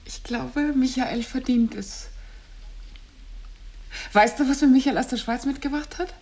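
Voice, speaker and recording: A smug, well-meaning wife in a radio play. Her voice sits a little high. She is solicitous yet condescending, nagging as she shows concern. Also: measured pace, a little mellow. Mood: sweetly solicitous. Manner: solicitous, patronising. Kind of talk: stage-play radio drama acting.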